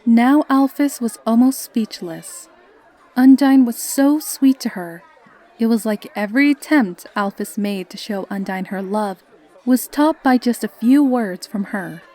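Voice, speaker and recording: High-voiced woman narrating a story. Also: measured pace, mellow, some chatter behind.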